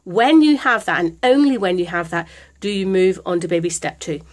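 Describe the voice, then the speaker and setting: A woman's voice: fairly low pitch, a little fast. A middle-aged British woman with a chest infection, talking through a casual budgeting tutorial in a chatty, hesitant way, recorded on a camera phone at home. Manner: chatty, hesitant with many ums. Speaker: vlogger.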